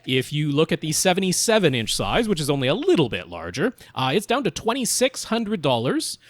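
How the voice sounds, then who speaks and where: A man with a slightly high, very lively voice co-hosts a tech podcast. He explains things methodically and in detail, in a casual conversational way. The recording is dry, with very little room sound. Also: fairly quick, dry recording. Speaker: podcast co-host. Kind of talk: casual tech advice discussion.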